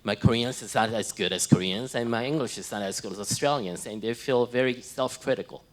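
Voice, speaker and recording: A man's voice: mid-range voice, a little fast. A man interpreting a monk's answers into English, in a plain, slightly hesitant way.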